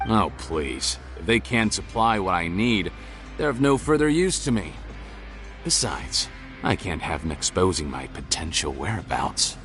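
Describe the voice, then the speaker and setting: An actor playing a smug, ruthless fugitive crime boss in a video game, with a mocking, theatrical sneer. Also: male voice, sits low, big pitch swings, a little slow, heavily acted. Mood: smug, menacing. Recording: game music behind the voice.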